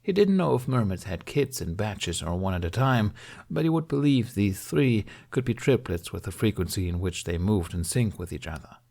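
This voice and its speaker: A man's voice: fairly low pitch, very animated, normal speed, creaky, dark tone. A man reading scripted fiction as an audiobook narrator.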